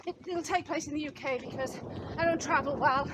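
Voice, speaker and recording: A woman's voice: high-pitched, steady tone, brisk, heavy background noise. A woman in her fifties from Wales, co-hosting a running channel and chatting casually to camera about her goals. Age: 50s.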